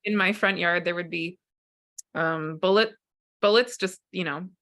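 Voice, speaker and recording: A woman's voice: fairly low pitch, a little slow, a bit bright. A middle-aged woman hosting an interview, openly sharing her personal stories. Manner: open, sharing personal stories with light laughs. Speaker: interview host. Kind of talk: casual personal conversation.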